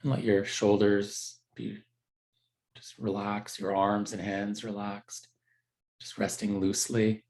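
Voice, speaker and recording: A man teaching a guided meditation in a gentle, unhurried, conversational way with halting pauses. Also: fairly low pitch, level tone.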